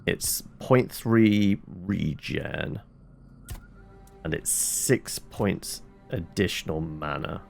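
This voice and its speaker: A man's voice: low voice, very slow, gravelly, a little mellow, soft background music. A man doing casual gameplay commentary as a streamer, musing aloud and answering his own questions. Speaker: video game streamer.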